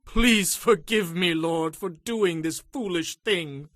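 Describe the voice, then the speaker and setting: Man acting ancient king, bright and high. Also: slow pace, dry recording, studio quality. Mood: remorseful, pleading. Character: anguished, commanding king of ancient Israel, penitent. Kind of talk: dramatized scripture reading.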